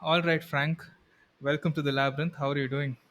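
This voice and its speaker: This is a man with an Indian accent and a voice pitched a little high. He hosts a podcast, putting questions to his guest in a casual, conversational way. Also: level tone, a little fast, a bit bright. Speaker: podcast host. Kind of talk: casual interview.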